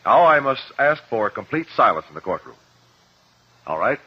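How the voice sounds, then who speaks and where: A muffled old radio drama recording of a composed male investigator. He has a slightly rough voice and an American accent, and he questions formally and precisely, weighing each phrase. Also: medium pitch, sing-song, normal speed. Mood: calm, probing. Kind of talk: 1950s radio drama acting.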